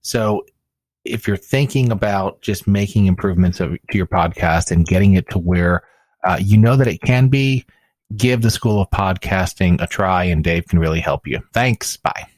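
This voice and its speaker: Lowish-voiced man giving an informal testimonial. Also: normal speed, a little mellow, no room sound.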